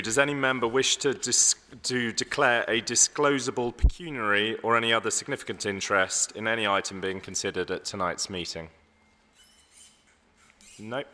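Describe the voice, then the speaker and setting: A man chairing a council meeting as mayor, slightly hoarse, formal and measured, with some ums and restarts. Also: medium pitch, bright, forceful tone. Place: large council chamber. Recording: long echo of a large hall.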